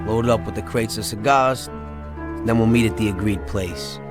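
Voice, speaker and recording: An actor playing an excitable, scheming small-time American gangster in a video game, talking in streetwise slang. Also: male voice, lowish voice, normal speed, music in the background, natural voice. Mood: businesslike. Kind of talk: video game cutscene acting.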